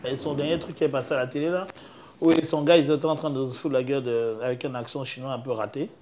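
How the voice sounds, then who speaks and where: A man's voice: mid-range voice, fairly even intonation, quick pace, darkish tone. A man hosting a show, asking casual, offhand questions in an interview.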